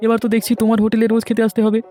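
A man acts out a friendly village diner for a cartoon. The voice is high for a man and soft-edged, rattling along very fast. The manner is effusive and full of flattery. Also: faint music behind. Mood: effusive, pleased. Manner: effusive, chatty. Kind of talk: cartoon dubbing.

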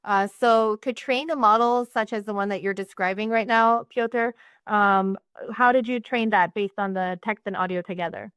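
A woman's voice: mid-range voice, steady tone, moderate pace, fairly bright tone, clean tone, dry recording, clean studio sound. Eager woman host asking questions. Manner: eager, enthusiastic reactions, direct questions. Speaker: host, moderator. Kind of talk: interview moderation on a video call. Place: indoors at home, virtual background. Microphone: webcam or laptop mic on a video call.

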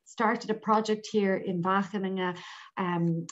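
A woman's voice: mid-range voice, steady tone, unhurried. A woman with an Irish accent giving an informal academic presentation over a video call, hesitating often. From Ireland. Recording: dull lo-fi online call audio.

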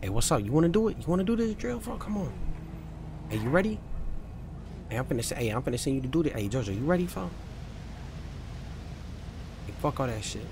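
A man's voice: mid-range voice, very animated, brisk, long pauses, background noise. A young man improvising street-gang roleplay in a video game, brash and slangy, swearing and repeating himself urgently. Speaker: streamer playing a gangster roleplay game.

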